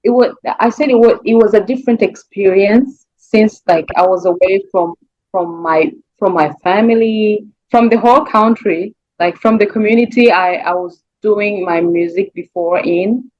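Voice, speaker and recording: A woman's voice: medium pitch, moderate pace, a little mellow, dry recording, dull audio. A woman from Rwanda with a Rwandan accent answers interview questions as a singer, searching for words and repeating phrases in a conversational way.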